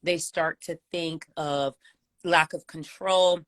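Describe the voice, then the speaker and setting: A hoarse woman hosting a live video chat, welcoming and effusive. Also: deep voice, steady tone, slow, no room sound, clean studio sound. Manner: warm, effusive. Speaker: host. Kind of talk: casual live stream chat. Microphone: video call.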